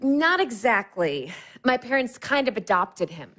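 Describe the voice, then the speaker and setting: A woman's voice: fairly high pitch, very animated, measured pace, fairly bright tone, heavily acted. A woman acting in an audio drama as an anxious, argumentative young student, over-apologetic yet combative.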